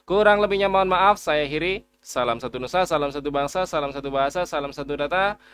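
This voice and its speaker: A man's voice: a little high, quick pace, fairly bright tone, smooth. A man giving a casual, reassuring screen-share tutorial and addressing viewers as friends. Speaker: education YouTuber explaining teacher data portal.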